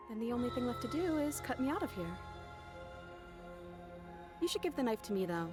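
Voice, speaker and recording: A woman's voice: on the high side, big pitch swings, a little fast, music in the background. A woman playing a captive young princess in a horror visual novel, composed and coaxing despite her wounds. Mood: wary, pleading. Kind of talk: dramatic visual novel voice acting.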